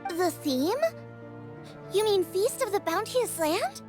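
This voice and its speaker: An actress voicing a tiny, childlike, excitable girl companion in a video game, with a squeaky, expressive, cheeky delivery. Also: exaggerated voice. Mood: puzzled. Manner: squeaky, expressive, halting when puzzled. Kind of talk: cartoonish video game character acting. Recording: playful music loudly behind.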